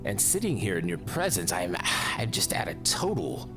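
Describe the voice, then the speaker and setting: A man's voice: wildly swooping pitch, normal speed. An actor playing a vain, courtly young lord in his thirties, florid and theatrical, turning bitter and brooding. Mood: awestruck. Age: 30s. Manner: florid, swooping and courtly, sinking low and brooding when upset. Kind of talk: audio drama acting. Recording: music bed under the voice.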